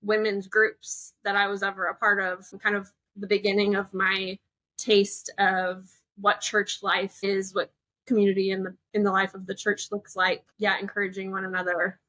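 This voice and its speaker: A woman's voice: medium pitch, completely flat delivery, normal speed, pushed and bright, no room sound, rough recording. Young woman, close dry sound, sharing her story. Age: young adult. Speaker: podcast guest. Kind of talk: conversational personal story.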